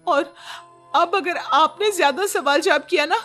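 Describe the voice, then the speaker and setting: A woman voicing an indignant young Indian wife in a cartoon story, with a shrill, theatrical, complaining manner. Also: unhurried, fairly bright tone, faint music behind. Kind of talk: exaggerated cartoon story dialogue.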